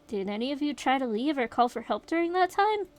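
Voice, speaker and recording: A woman voicing an investigator who asks brisk, matter-of-fact questions. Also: sits high, very animated, darkish tone. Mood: questioning.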